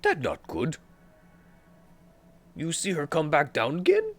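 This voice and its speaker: A man's voice: on the high side, huge pitch swings, slow pace, soft background music, voice pushed up, full character voice. A man voicing a tough male street runner who speaks in blunt, clipped broken English. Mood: blunt questioning.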